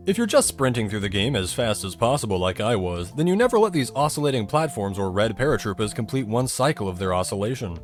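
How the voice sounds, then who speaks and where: A man narrates a scripted technical explainer about a video game. His pitch moves up and down a lot as he lays things out step by step, with dry humor in the delivery. Music plays along with the voice.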